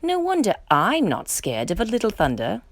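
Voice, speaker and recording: A woman's voice: medium pitch, wildly swooping pitch, normal speed, no room sound. A woman voicing an eager, plaintive farm pig in a children's storybook. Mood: reassured. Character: cold, wet farm pig seeking shelter.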